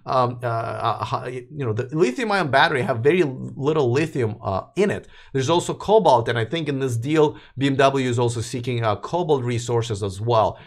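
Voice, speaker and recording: A man hosting a casual livestream talks in a loose, rambling way, full of "uh" and "you know" and sentences he starts over. His voice sits in a middle pitch and sounds a little worn out from too little sleep. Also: animated, normal speed, few pauses. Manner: rambling, many fillers and restarts. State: tired, voice wearing out. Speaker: host of an electric car news livestream. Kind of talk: casual live chat, reading viewer comments.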